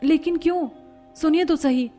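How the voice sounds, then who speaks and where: A woman's voice: high-pitched, moderate pace, dark tone, faint music behind, pitched above the performer's own voice, natural voice. A woman voicing a jealous young newlywed wife in a cartoon family drama, sweetly deceitful and coaxing, whiny when refused. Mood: annoyed, complaining. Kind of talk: animated family drama dialogue.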